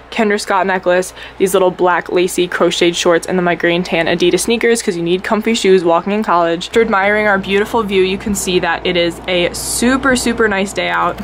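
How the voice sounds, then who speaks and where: A young woman vlogger with a hoarse, worn-out voice, chatting casually to her phone camera in a college dorm room. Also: on the low side, fairly bright tone, a little hiss or hum. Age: young adult. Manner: chatty, upbeat, rambling. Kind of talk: casual vlog talk to camera. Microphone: phone held at arm's length.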